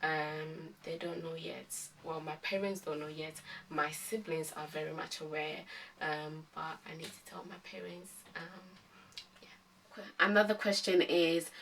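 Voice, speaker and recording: A woman in her twenties from Ghana, chatting calmly through a casual question-and-answer vlog with many pauses and ums. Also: low voice, far from the mic, slight background noise, low-quality recording. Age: 20s. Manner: calm, many ums and hesitant restarts. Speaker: vlogger.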